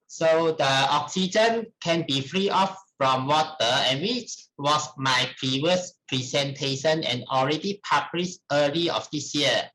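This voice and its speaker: A middle-aged man with a Malaysian accent gives a formal academic presentation in a halting, deliberate manner. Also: on the high side, sharp tone, low-quality recording. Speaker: researcher presenting at a conference.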